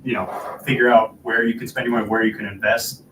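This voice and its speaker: A man's voice: fairly low pitch, flat delivery, quick pace, background noise. A young man from Rensselaer, New York, on a youth panel, speaking thoughtfully with some hesitation. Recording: echoey room, distant mic.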